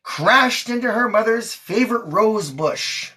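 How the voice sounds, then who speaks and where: Slow, expressive woman reading a storybook. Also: fairly high pitch. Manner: expressive, acting out characters' lines. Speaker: mother reading to her daughter on a livestream. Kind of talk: storybook read-aloud.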